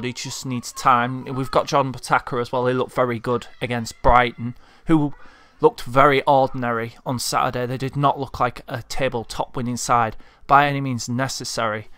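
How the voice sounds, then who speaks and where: A man's voice: mid-range voice, a bit bright, dry recording. A man from Leeds with a Leeds accent, delivering a frustrated, heartfelt vlog rant as a football fan. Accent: Leeds Yorkshire. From Leeds, England. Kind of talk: impassioned monologue rant.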